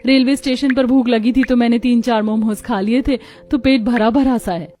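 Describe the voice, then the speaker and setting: A woman's voice: on the high side, steady tone, moderate pace, dark tone, natural voice. A woman voicing a poor, hardworking, tender young mother who sells momos in a cartoon story.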